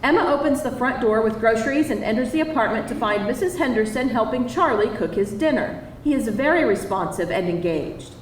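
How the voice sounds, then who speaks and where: A woman's voice: on the high side, animated, fairly quick, few pauses. A woman narrator reading screenplay stage directions aloud at a live table read. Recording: echoey live hall, distant, faint noise.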